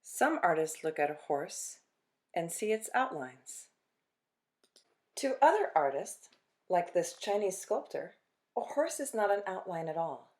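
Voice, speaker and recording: A woman reads to children as a storytime reader, in a lowish voice and at a slow pace. Her delivery is expressive and deliberate, setting phrases apart with dramatic pauses. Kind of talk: expressive picture-book read-aloud.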